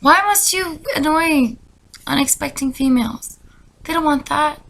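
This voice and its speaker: A woman giving deadpan comedic commentary and dramatic readings, rambling through sarcastic, incredulous asides. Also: sits high, unhurried, gravelly. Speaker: comedy video creator. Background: music.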